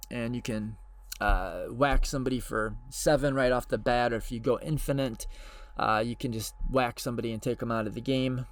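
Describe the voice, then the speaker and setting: A man hosting his own channel, hoarse and losing his voice, talking casually and unscripted with frequent fillers and restarts. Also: mid-range voice. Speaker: channel host.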